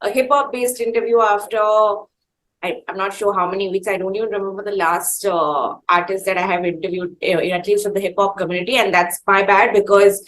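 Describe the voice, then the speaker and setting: A woman's voice: mid-range voice, fairly even intonation, a little fast, a bit bright, rough recording. A woman with an Indian accent hosting an interview in an enthusiastic, effusive, conversational way. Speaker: interviewer and show host.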